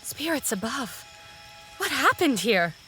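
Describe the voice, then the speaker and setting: A woman's voice: sits high, very animated, takes it slowly, over loud music, exaggerated voice. A woman playing a wry, casually grumbling forest guard in a fantasy audio drama. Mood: shocked.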